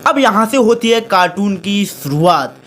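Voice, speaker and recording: A man's voice: high voice, expressive, moderate pace, fairly bright tone. Male how-to presenter, chummy and direct, explaining on-screen steps. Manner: chummy, addresses viewers as friends and brothers. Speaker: tutorial channel host. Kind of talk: screen-recorded tutorial commentary.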